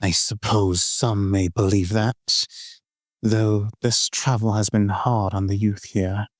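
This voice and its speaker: A man voicing a restrained elf master, polite, measured and formal, in a fantasy audio drama. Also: fairly low pitch, fairly even intonation.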